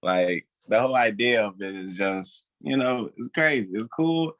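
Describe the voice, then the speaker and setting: Bright-voiced young man chatting casually. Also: fairly low pitch, normal speed, dry recording, poor audio. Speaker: stage actor, interview guest. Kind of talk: casual chat.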